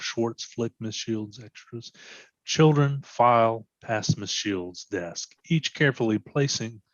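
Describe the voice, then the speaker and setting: Low-voiced man narrating, dull dry recording. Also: fairly bright tone, no echo. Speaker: reader of stage directions. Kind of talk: scripted narration for readers theatre.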